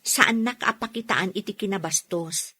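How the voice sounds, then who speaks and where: A woman's voice: medium pitch, moderate pace, bright, forceful tone, breathy edge. A woman with an Ilocano accent playing a chatty, teasing young friend in an audio drama. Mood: gossipy, confiding. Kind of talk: radio drama acting in Ilocano.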